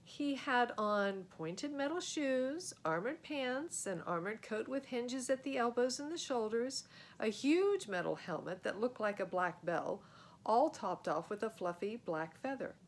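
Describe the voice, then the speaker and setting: High-pitched, very animated woman narrator. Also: unhurried. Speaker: storybook reader. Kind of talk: expressive scripted narration for children.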